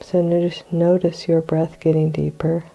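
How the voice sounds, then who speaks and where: An older woman with a cold, hoarse and clearing her throat, guiding a meditation in a slow, soothing way. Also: low voice, level tone, warm tone, highs cut off. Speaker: meditation guide. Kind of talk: guided meditation.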